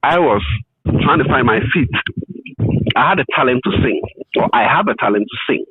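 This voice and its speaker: A middle-aged man with a Ghanaian accent telling his story in an interview, in deliberate phrases with emphatic repetition. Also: medium pitch, animated, noisy background. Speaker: interview guest. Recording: thin phone-like line, muffled detail.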